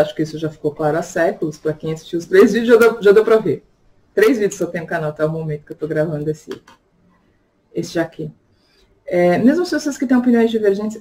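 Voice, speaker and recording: A woman's voice: lowish voice, mellow. Chatty Brazilian-born woman vlogging. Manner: chatty, reflective, frequent tag questions. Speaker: vlogger and tarot reader. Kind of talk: casual personal vlog monologue.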